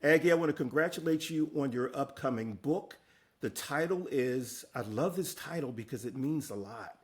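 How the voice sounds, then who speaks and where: A man's voice: mid-range voice, expressive, moderate pace. A man hosting an interview, upbeat and encouraging as he introduces topics and asks questions. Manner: upbeat and encouraging, laughs often. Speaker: interview host.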